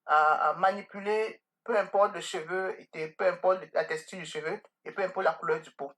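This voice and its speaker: A woman's voice: on the low side, fairly even intonation, moderate pace, fairly bright tone. A middle-aged woman speaking as an interview guest, earnest and emphatic, telling anecdotes and addressing listeners directly. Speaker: podcast guest, beauty salon owner. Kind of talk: conversational interview answers with anecdotes.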